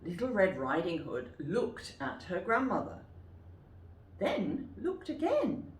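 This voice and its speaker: A woman reading a children's story aloud in an expressive, deliberate, dramatic way, like a teacher. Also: fairly low pitch. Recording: roomy, distant mic with faint noise.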